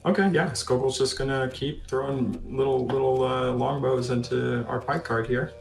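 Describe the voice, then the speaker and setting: A man describes his character's moves in a casual tabletop game. His mid-pitched voice has a creaky edge and sits in an echoey room over music. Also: steady tone, normal speed. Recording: roomy sound with music behind.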